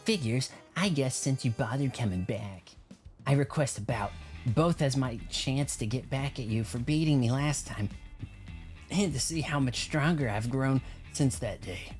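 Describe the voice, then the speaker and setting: A man's voice: medium pitch, a little slow, soft background music, lightly acted. A man voicing an earnest, proud young dojo fighter as he reads video game dialogue aloud. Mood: determined. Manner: determined, boastful.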